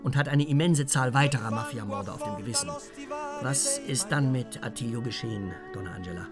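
A male radio host , played by an actor, in a radio drama. He presents earnestly and explains things to listeners in a middle-pitched voice. Music runs clearly underneath. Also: sing-song, fairly quick, close to an everyday voice. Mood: grave, informative.

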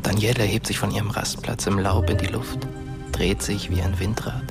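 An actor playing a dead teenage boy who narrates a radio drama in a hushed, deliberate, incantatory way. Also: fairly low pitch, fairly even intonation, natural voice. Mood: eerie, dreamlike. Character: dead teenage boy, ghostly fatalistic narrator of his night. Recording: studio voice over loud music.

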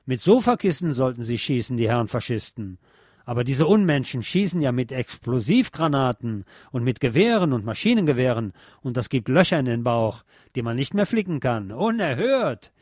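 A man's voice: medium pitch, very animated, normal speed, a little mellow, no room sound, clean studio sound. A man voicing a furious, scornful soldier in a story reading, hissing, mocking and bullying as he speaks.

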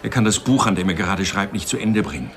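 Courteous, low-voiced publisher. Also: male voice, animated, quick pace, a bit bright. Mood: concerned. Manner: polite, businesslike, earnest. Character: courteous, worried male book publisher. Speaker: actor playing a publisher. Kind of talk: radio crime drama dialogue. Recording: studio radio play with bar crowd behind.

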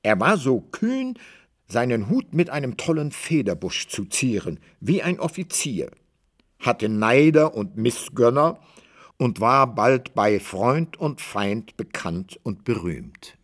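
A man narrating a literary radio drama from a script, measured and wry in his storytelling. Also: medium pitch, sing-song.

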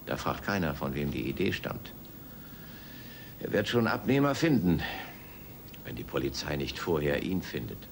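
A man playing an earnest, courteous middle-aged scientist in an audio drama, speaking in a measured, formal way. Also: rumbling low, very animated, a little hiss or hum, heavily acted. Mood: grave, bitter. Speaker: actor in a German children's audio drama. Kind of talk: radio play acting.

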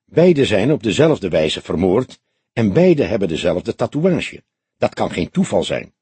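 An older detective, as acted by a male narrator, speaks with a very husky, breathy edge and deliberate care. He is grave and dryly humorous, with lively turns of pitch, as in a crime audiobook. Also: no echo, heavily acted. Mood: pensive. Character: older police detective, dry, grave, quietly wry. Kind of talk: audiobook character dialogue.

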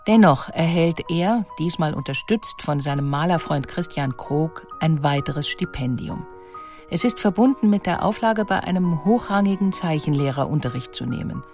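Documentary narrator, mid-pitched voice, scripted delivery, faint music underneath. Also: animated, moderate pace, a little mellow.

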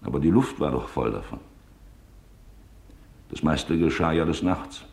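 An actor playing an office employee, giving measured, sober testimony about wartime events in a radio documentary drama. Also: male voice, a bass voice, lively intonation, gravelly, darkish tone, full character voice. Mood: grave, troubled.

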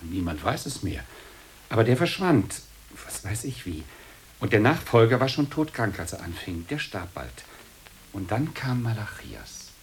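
An actor playing a gossiping old monk in a German radio drama, emphatic, then dropping into muttered asides. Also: male voice, fairly low pitch, big pitch swings, a little slow, a little hiss or hum. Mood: knowing, grudging.

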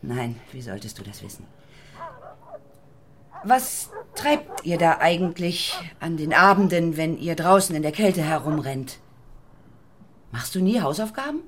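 A brash, earthy mother in a radio play. Her voice sits fairly low and swings widely in pitch as she bosses people about bluntly, with a faint noise behind her. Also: very animated, a little slow, pauses often. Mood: curious. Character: brash, bossy, eccentric working-class mother of a teenage boy. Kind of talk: German radio play acting.

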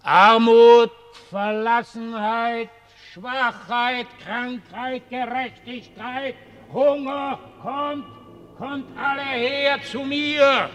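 An actor in a German radio play as a ragged homeless dreamer, mocking, prophetic and pitying, with theatrical, declamatory delivery. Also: male voice, extremely high pitch, fairly even intonation, drawn-out words, fairly bright tone, heavily acted. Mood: fervent, summoning. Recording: long reverberant hall.

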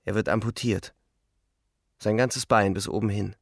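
An actor in a radio drama narrating as a disillusioned twenty-year-old soldier, grave and reflective, in short weighted phrases. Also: male voice, fairly low pitch, flat delivery, moderate pace, vocal fry. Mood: bleak.

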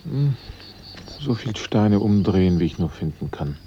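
A man's voice: lowish voice, very animated, unhurried. An actor playing a cool-headed male investigator in a German radio drama, asking questions in a dryly polite way. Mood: casually probing. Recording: dull, noisy older radio recording.

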